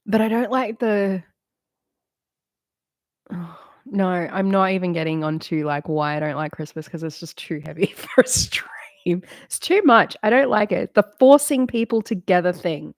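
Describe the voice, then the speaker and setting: A woman's voice: on the low side, unhurried, dry recording, studio quality. A woman in her late thirties chatting casually on a live stream, rambling and candid, swearing freely and laughing often. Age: late 30s.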